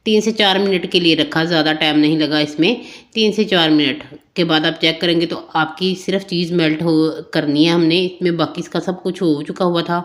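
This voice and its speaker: Slightly hoarse woman giving a cooking tutorial. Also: low-pitched. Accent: Pakistani Urdu. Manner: homely, chatty step-by-step instruction. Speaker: cooking channel host. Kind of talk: home cooking tutorial voice-over.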